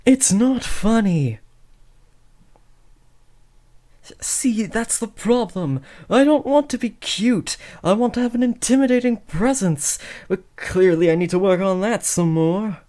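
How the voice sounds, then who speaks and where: A man's voice: sits high, measured pace, hardly any pauses, mellow. A young man acting an awkward, earnest teenage boy who is trying to be a supervillain, hesitant and often correcting himself, in a comedic audio roleplay. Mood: embarrassed protest.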